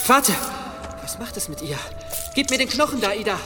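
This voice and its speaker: A man's voice: very high-pitched, sing-song, moderate pace. An actor playing an anxious, devout young man in a medieval fantasy radio play, agitated and earnest. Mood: distraught. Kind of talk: German radio play acting. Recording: long reverb, music underneath.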